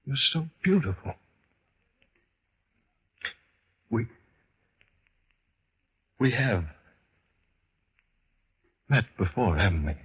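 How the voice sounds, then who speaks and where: An actor playing an obsessed husband entranced by a phantom, intense and melodramatic in a 1950s radio horror style. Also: male voice, medium pitch, big pitch swings, slow pace, long pauses, breathy edge, full character voice. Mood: entranced, hushed. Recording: dull, lo-fi vintage radio recording.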